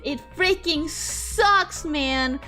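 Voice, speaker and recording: A young woman streamer chatting casually with viewers, voice swinging from high squeals down to low mutters. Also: very slow, pushed and bright, soft background music. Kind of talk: casual chat reading viewer messages.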